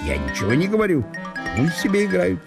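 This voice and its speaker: Radio play: male actor as obstinate, huffy country landowner, highly animated delivery, music underneath. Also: brisk, vocal fry, exaggerated voice. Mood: offended, indignant. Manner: blunt, indignant, repetitive. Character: stubborn, grumbling, indignant small-town landowner, Ivan Nikiforovich. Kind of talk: radio literary solo performance.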